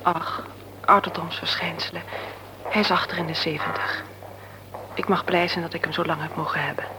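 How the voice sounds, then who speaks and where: An actress playing a candid woman visiting her aged father in a radio play, with a soft, confiding way of talking. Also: deep voice, big pitch swings, normal speed, noisy background. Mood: friendly.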